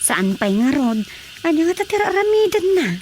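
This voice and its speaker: A woman's voice: very high-pitched, very animated, normal speed, over loud music. A woman playing a puzzled, exasperated Filipino household member in a comedic radio drama, questioning and exclaiming. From Philippines. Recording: noisy radio drama track.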